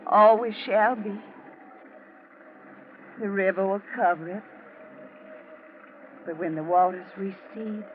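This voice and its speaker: An actress playing a vengeful young bride, slow and taunting, in a theatrical 1940s radio drama. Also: female voice, medium pitch. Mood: triumphant, eerie. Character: vengeful young bride coldly luring her enemy to death. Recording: noisy, muffled 1940s radio recording.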